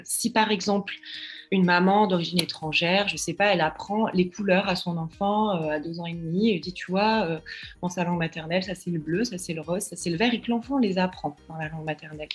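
A woman's voice: mid-range voice, darkish tone. A woman speaking as a child language expert, explaining with earnest, patient advice and frequent hesitations. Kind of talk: advice interview.

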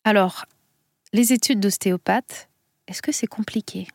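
A woman radio host at a mid-range pitch leads the conversation with courteous, complimentary questions. Her voice sounds close and dry. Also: unhurried, vocal fry, no echo. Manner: polite, complimentary, guiding questions. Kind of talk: radio interview.

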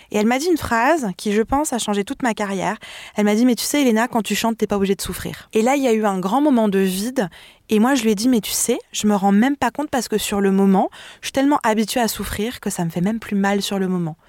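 A woman vocal coach speaks as a radio guest in an expressive, animated way, laughing easily and catching herself mid-sentence. Her voice sits at a middle pitch, and the recording is close and dry with no room sound. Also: no echo. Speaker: vocal mentor and singer.

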